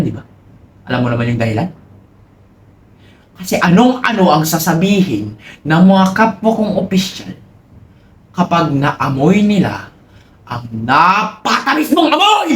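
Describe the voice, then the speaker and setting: A man's voice: fairly high pitch, roomy sound. A man playing a pompous, abusive Spanish colonial army lieutenant in a boastful, demanding theatrical monologue. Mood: scolding.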